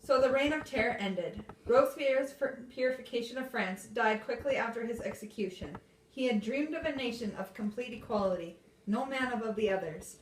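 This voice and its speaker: A woman with a somewhat high voice narrates a scripted history puppet show. Faint noise sits behind her reading. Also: a little fast, low-quality recording.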